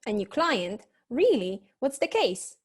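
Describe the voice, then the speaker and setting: Actress as brisk, knowing detective's secretary, fairly high voice, dry but eager, comic noir radio serial. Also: female voice, very animated, husky, full character voice.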